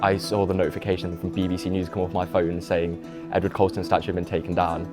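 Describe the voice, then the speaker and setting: A man with a very deep, flat voice recalling events calmly in a documentary interview. Music plays loudly beneath his words. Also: quick pace. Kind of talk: reflective interview.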